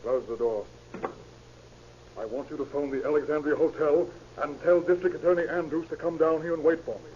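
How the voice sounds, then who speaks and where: Actor as bossy crafty police chief, old radio drama, brisk slightly high voice, muffled noisy recording. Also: male voice, steady tone, darkish tone, close to an everyday voice. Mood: commanding. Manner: brisk, authoritative. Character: commanding, wily police chief. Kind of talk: 1940s-50s American radio drama acting.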